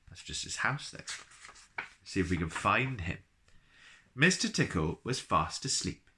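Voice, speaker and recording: A man reads a children's story with lots of melodic rise and fall. His voice sits a little low yet sounds bright and forward. He is playful and expressive, slipping into chatty remarks addressed to his listeners. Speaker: storyteller reading a children's book aloud.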